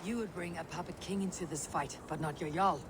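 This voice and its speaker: A man's voice: on the high side, a little fast, background noise, close to an everyday voice. An actor playing a stern, blunt male Viking warrior in a game cutscene. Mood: disapproving. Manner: blunt, disapproving. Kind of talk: video game cutscene acting.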